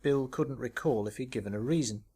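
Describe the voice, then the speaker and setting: A man's voice: medium pitch, a little fast, a little mellow, husky. Man narrating a short story. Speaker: author reading own short story.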